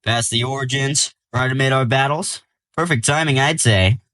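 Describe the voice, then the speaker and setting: Guy voicing cocky superhero dude in an audio drama, wisecracks, explains stuff breezily, medium pitch. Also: normal speed, pushed and bright, no room sound, lightly acted. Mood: smug. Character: cocky, wisecracking male superhero, adult, self-important. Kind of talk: audio drama character acting.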